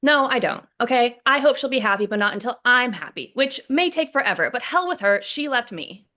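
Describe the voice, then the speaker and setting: A woman's voice: a little high, lively intonation, fairly quick, bright, forceful tone. A woman playing a despondent, prickly young man, newly separated, in a sitcom script read. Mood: bitter.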